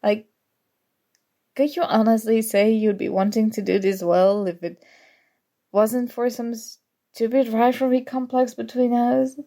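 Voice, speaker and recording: A woman's voice: a little high, flat delivery, a little slow, long pauses. A young woman acting in an ASMR audio roleplay as a prickly but grudgingly fond student, teasing and defensive. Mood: flustered, defensive. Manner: teasing, defensive, flustered, soft-spoken tsundere.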